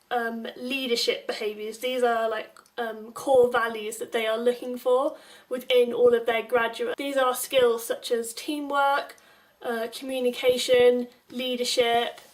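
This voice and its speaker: A young woman giving informal advice to viewers in a measured, explanatory way. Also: on the high side. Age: young adult. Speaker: advice video presenter. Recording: distant microphone.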